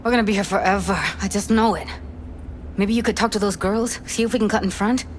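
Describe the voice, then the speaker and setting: A woman voices a worried young video game companion at a middling pitch. She is fretful and impatient, pleading softly to get moving, with faint ambience behind. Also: fairly even intonation, quick pace, a little hiss or hum, lightly acted. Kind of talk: video game character acting.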